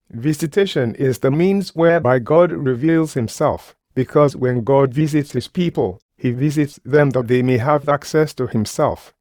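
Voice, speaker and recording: A man's voice: mid-range voice, darkish tone, dry recording, clean studio sound. A man preaching a sermon, speaking emphatically, repeating key phrases and putting rhetorical questions to his listeners.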